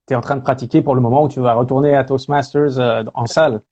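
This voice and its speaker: Male guest, French, easygoing, warm mellow tone, hesitant fillers. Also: medium pitch, fast talker, highs cut off. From France. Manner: relaxed, lots of hesitation fillers. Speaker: guest, speaker and singer. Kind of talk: casual interview talk.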